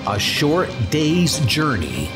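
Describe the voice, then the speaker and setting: A man's voice: on the high side, wildly swooping pitch, slow. A man reading dramatic scripted comic-book narration. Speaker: narrator and character reader. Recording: music under the voice.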